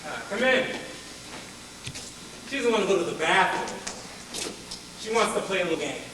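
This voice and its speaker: A man acts a brutal interrogator in a stage drama, aggressive and mocking. His voice is very high-pitched for a man. It sounds far off, in a very echoey hall. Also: expressive, moderate pace, noisy background. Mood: menacing. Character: brutal, taunting male apartheid-era police interrogator.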